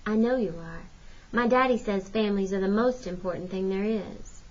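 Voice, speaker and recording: A woman's voice: mid-range voice, normal speed, darkish tone, highs cut off, slight background noise, lightly acted. A woman reading a storybook in the role of a sweet, frank and inquisitive ten-year-old girl. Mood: frank, probing.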